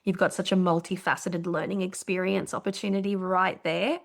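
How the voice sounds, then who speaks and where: A woman's voice: on the low side, level tone, moderate pace, gravelly. A woman from Brazil co-hosting a podcast, explaining things in an enthusiastic, casual way with the odd swear word. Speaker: podcast co-host.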